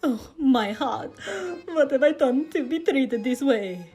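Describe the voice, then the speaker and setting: A woman's voice: a little high, normal speed, warm tone, faint music behind, close to an everyday voice. A woman voicing a flirtatious, melodramatic Frenchman in an audio story, cooing and theatrical. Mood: mock hurt. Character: flirtatious, melodramatic Frenchman, teasing and mock-wounded.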